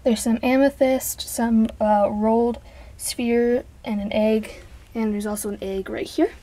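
A young woman, congested from allergies, chatting casually and softly as she shows off her collection, sometimes dropping to a whisper. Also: on the high side, fairly even intonation, a little slow. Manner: chatty, rambling, soft, drops into whispers. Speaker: vlogger. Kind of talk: casual show-and-tell vlog. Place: bedroom. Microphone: handheld camera.

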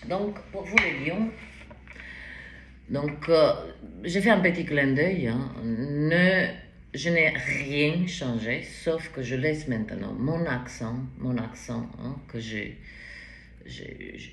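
A woman in her forties with a foreign accent, reading tarot cards to the camera in a halting, casual way with many restarts. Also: low-pitched, expressive. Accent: foreign accent in French. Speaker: tarot card reader. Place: bright indoor room at a table. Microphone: camera in front at table height. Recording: roomy echo, faint background hiss.

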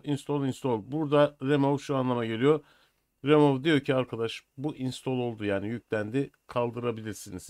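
An older man presenting a software tutorial in an informal, step-by-step way. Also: medium pitch, clear, resonant tone, no echo. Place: small indoor room at a desk. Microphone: USB computer microphone.

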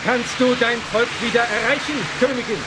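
A man's voice: extremely high pitch, steady tone, brisk, heavy background noise. A man playing an earnest, concerned space adventurer in an audio drama, serious and urgent. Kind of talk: German children's audio drama.

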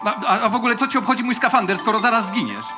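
High male voice, very lively pitch, naive junior space officer, stammering bluster, prominent music behind. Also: rapid-fire. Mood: flustered bluster. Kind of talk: children's theatrical audio play acting. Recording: music under the voice.

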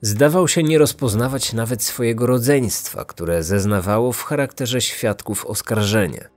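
A man's voice: mid-range voice, normal speed. A man hosting a podcast, reading scripted true-crime narration. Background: faint music.

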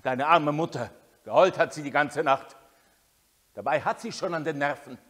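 An actor playing a harsh older man who berates a teenage boy with contempt, his scolding rising to shouts, in a radio drama. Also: a little high, moderate pace, long reverb tail. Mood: bitter, shouting. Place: studio with concrete walls. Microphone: binaural dummy head.

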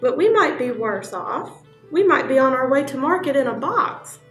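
A woman's voice: high-pitched, sing-song, moderate pace, fairly bright tone, reverberant, recorded from a distance, soft background music. A woman voicing a jolly, good-natured old male duck in a bedtime story, hearty and polite.

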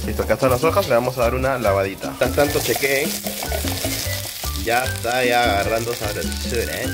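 A Peruvian man with a medium-pitched voice talks casually as a food vlog host. He is playful and colloquial, addressing viewers directly. Music plays clearly behind him. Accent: Peruvian Spanish. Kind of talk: casual cooking vlog chat.

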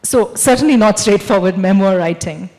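A woman's voice: mid-range voice, normal speed, warm tone. A middle-aged woman moderates a panel on a large festival stage, framing her questions articulately into a handheld microphone. A long hall reverb trails her voice. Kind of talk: panel discussion. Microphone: handheld mic.

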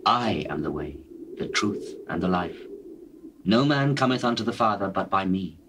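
Casting: grave, gentle master teacher, lowish pitch, stately declamation for dramatized scripture. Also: male voice, a little hiss or hum. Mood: solemn, assured. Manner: measured, solemn, declamatory. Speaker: actor in dramatized Bible recording. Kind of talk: dramatized scripture reading in archaic English.

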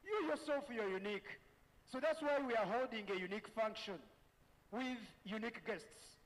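A middle-aged man with a Ugandan accent, deliberately and emphatically announcing as master of ceremonies at a formal graduation. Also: very high-pitched. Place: large event tent. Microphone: handheld microphone. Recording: reverberant PA sound in large tent.

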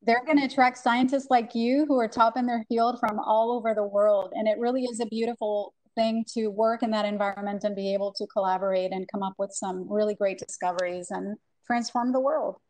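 A woman's voice: medium pitch, fairly even intonation, fairly quick, hardly any pauses, a little mellow, poor audio. A woman moderating a video-call panel, upbeat as she introduces the next question.

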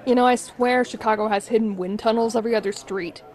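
An actress plays a breezy young woman in an audio drama. Her high voice is chatty and wry, teasing like a close friend. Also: steady tone, fast talker, darkish tone, natural voice. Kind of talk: naturalistic audio drama acting.

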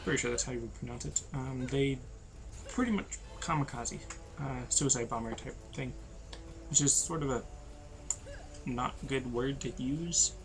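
A tired young man, losing his voice, giving low-energy, rambling commentary over a video game. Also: normal speed, vocal fry, over music, poor audio. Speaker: gameplay commentator. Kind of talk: casual let's-play commentary.

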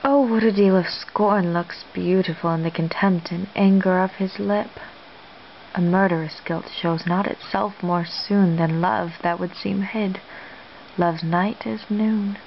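A woman playing a lovestruck noblewoman in a soft, hushed, tender Shakespearean stage monologue. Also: mid-range voice, steady tone, a little slow, creaky. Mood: ardent. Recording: dull lo-fi home recording, faint hiss.

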